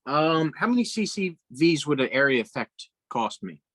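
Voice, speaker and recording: A man's voice: fairly high pitch, very animated, moderate pace, a bit bright, no echo. A man chatting casually as a player in a tabletop role-playing game.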